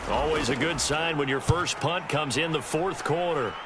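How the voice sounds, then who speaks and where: Loud noise sits behind an actor playing an American male football announcer with a slightly high voice. He calls plays in a brisk, composed broadcast style for a video game. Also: lightly acted. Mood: engaged, composed. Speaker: play-by-play sports commentator. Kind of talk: scripted football video game play-by-play commentary.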